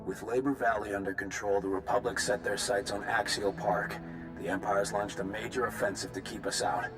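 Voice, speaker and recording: An actor playing a skeptical military captain in a video game, giving a brusque, matter-of-fact briefing. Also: male voice, fairly low pitch, steady tone, brisk, lightly acted. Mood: brisk, skeptical. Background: game music.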